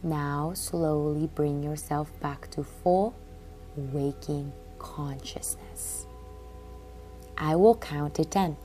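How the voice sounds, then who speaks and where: Very slow, low-voiced woman guiding meditation. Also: rough recording. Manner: calm, soothing, unhurried with long pauses. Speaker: guided meditation narrator. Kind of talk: guided relaxation meditation, scripted read-aloud. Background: music under the voice.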